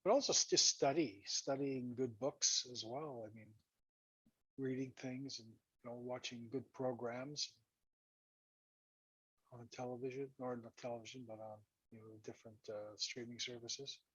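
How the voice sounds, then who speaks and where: Hoarse guy hosting a chat show over a video call, kinda husky, trips over his words a lot, muffled lo-fi sound. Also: mid-range voice, steady tone, a bit bright, no room sound. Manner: halting, repeats and restarts words. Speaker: host. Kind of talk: casual talk-show chat reading viewer comments.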